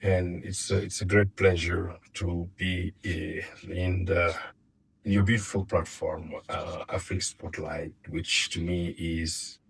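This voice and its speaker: A man's voice: low voice, flat delivery, slow pace, vocal fry, a little hiss or hum, poor audio. A middle-aged man from Senegal, guest in an interview, speaking hesitantly and often repeating words while he searches for them. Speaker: guest filmmaker. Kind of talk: casual interview.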